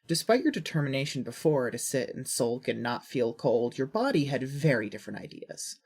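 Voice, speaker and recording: A woman with a husky voice reading scripted fiction as a narrator. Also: a bass voice, very animated, normal speed, darkish tone.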